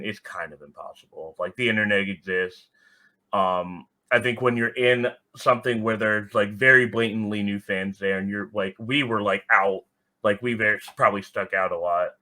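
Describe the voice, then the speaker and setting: A man's voice: lowish voice, a little fast, pushed and bright. A man chatting casually and bluntly as a podcast guest, peppering his talk with "like" and the odd swear word. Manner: blunt, swearing, heavy use of "like". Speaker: podcast guest, soccer supporters group organizer. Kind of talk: casual, candid podcast conversation.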